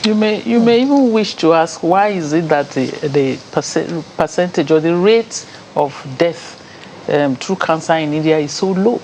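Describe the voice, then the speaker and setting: A woman, a professor on a TV panel, giving measured advice. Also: low voice, warm tone, a little hiss or hum. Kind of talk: studio discussion.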